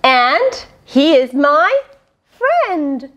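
An eager little boy from a children's storybook, voiced by a woman. He speaks earnestly and emphatically in a high, swinging voice, stressing his words slowly. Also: very animated, fairly bright tone, heavily acted. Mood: proud. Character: eager little boy who loves his spider friend. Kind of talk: children's storybook read-aloud.

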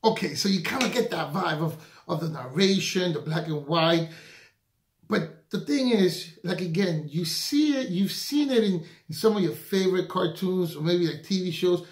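A man in his forties hosting a children's read-aloud, explaining informally and haltingly to camera. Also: sits high, animated, echoey room. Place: small home kitchen, indoors.

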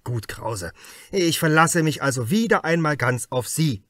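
A man acting out an agitated, impatient police chief, raised pitch. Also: expressive, unhurried, a bit bright, dry recording. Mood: relenting, jovial. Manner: urgent, blustering. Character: agitated, impatient male police superior pressing his detective. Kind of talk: audiobook character dialogue.

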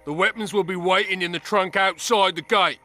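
An actor playing a suspicious, officious gate guard in a video game, brusque and challenging. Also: male voice, high voice, steady tone, fairly quick, bright, forceful tone, soft background music. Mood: wary.

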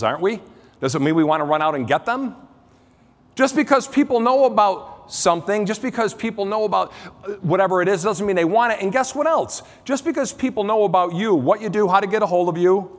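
Animated high-pitched American keynote man, echoing hall. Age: middle-aged. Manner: emphatic, theatrical, punchy repetition. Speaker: keynote speaker. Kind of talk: motivational business keynote speech to an audience. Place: on stage in large conference halls. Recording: reverberant hall sound system.

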